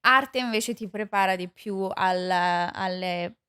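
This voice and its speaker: A woman's voice: medium pitch, measured pace, clear, resonant tone, no echo. A woman from Italy with an Italian accent, a podcast guest chatting casually and laughing often, switching between Italian and English. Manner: animated, laughs often, rounds her words.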